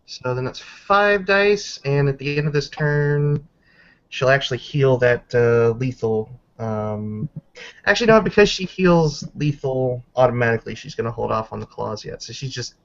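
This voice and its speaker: A man narrating a tabletop roleplaying game as game master, halting and descriptive, calling out dice rolls. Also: mid-range voice, lively intonation, highs cut off.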